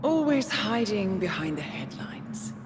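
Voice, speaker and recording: An actress playing a composed, middle-aged woman handler turned betrayer in a video game, speaking formally and deliberately. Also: mid-range voice, big pitch swings, long reverb tail, very noisy. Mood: mocking, cold. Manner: measured, deliberate, formal diction. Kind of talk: dramatic video game cutscene acting. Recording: voice over loud dramatic music.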